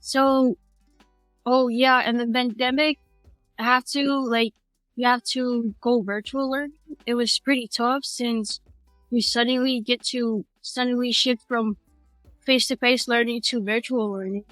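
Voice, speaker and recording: A teenage woman from American Samoa, a high school student telling her story in a casual, rambling way with many restarts. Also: steady tone, unhurried, a bit bright, dry recording, faint music behind, poor audio. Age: teens. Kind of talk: casual interview chat.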